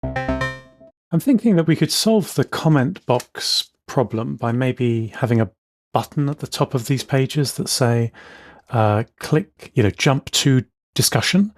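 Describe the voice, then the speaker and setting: A man co-hosting a podcast, explaining things casually in quick bursts. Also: medium pitch, expressive, faint music behind. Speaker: co-host.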